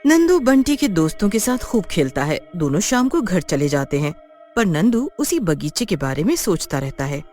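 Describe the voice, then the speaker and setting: Low, animated woman storyteller. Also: moderate pace, faint music behind. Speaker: narrator. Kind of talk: scripted children's story narration.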